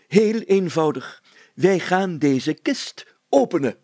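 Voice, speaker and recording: A man's voice: fairly high pitch, expressive, slow pace, darkish tone, dry recording. A man voicing a pompous, solemn and easily irritated village mayor in a children's story, with formal, declamatory delivery. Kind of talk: children's story character acting.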